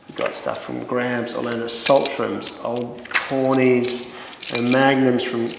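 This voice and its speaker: Man, 30s, gravelly, casual promo chat, recorded from a distance in a roomy cellar. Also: mid-range voice, fairly even intonation, slow pace. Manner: offhand, chatty, fumbles and restarts. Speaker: wine promoter. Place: indoor wine cellar with barrels. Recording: echoey roomy hall, faint noise.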